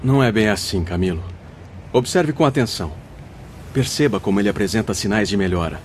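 A Brazilian actor in a radio drama playing a wise, patient spirit mentor, teaching in a solemn, formal manner. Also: male voice, on the low side, expressive, a little fast, a little hiss or hum, close to an everyday voice. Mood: reassuring. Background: soft music.

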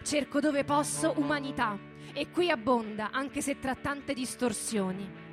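A woman's voice: high-pitched, unhurried. A woman reading a dramatic scripted narrative in a radio theatre performance. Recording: music playing behind the voice. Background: music.

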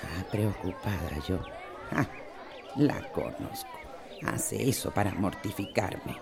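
An actress playing a fussing, caring, grumbling companion in a radio soap opera, chiding and exclamatory with a Costa Rican accent. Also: female voice, extremely low pitch, slow pace, creaky, crowd noise, heavily acted.

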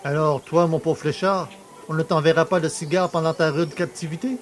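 A male actor plays a cheeky working-class poacher in a radio-style drama. His voice sits a little high, and he talks with familiar, colloquial swagger. Faint music plays behind him. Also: steady tone, brisk, close to an everyday voice. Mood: jovial, familiar. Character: cheeky, boastful working-class poacher, freed innocent and celebrated. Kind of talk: audio drama acting.

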